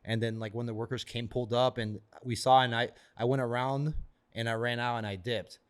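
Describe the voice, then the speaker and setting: A man's voice: mid-range voice, fairly even intonation, clean tone. A man in his thirties, raised in Florida, telling stories as a podcast guest in a rambling way, full of fillers and swearing. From Florida, born in Venezuela. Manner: rapid, rambling, heavy on "like" and swearing. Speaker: guest, tattoo artist. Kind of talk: casual storytelling.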